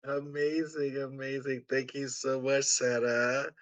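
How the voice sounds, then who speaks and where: A man's voice: mid-range voice, flat delivery, takes it slowly, dry recording, muffled recording. A man hosting an online event in an effusive, encouraging manner. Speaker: event host. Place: indoors on a video call.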